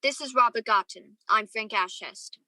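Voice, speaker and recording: A woman's voice: fairly high pitch, moderate pace, pushed and bright, no room sound. A young woman playing a wistful, courteous man who narrates his memories lyrically in a radio play. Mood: polite. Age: young adult. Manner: lyrical, reflective storytelling. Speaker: student actor.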